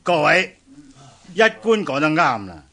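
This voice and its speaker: An ambitious eldest sworn brother in a historical radio drama. He declaims slowly and heartily in a high, forceful voice with big swings of pitch. His accent is Hong Kong Cantonese. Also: very animated, creaky, exaggerated voice. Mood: rallying.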